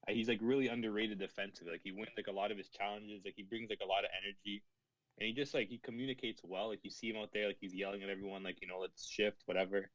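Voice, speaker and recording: A man's voice: on the low side, steady tone, racing, fairly bright tone, no room sound, low-quality recording. A young man co-hosting a football podcast, chatting casually and slangily with lots of "like" and "bro". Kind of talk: casual sports chat among friends.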